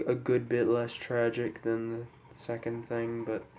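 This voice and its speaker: A man's voice: medium pitch, monotone, unhurried, mellow, a little hiss or hum. A young man with a head cold talking unscripted to camera, congested, somber and hesitant, as a YouTuber apologetically addressing his viewers. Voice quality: stuffy, congested. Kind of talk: unscripted personal talk to camera. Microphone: camera microphone.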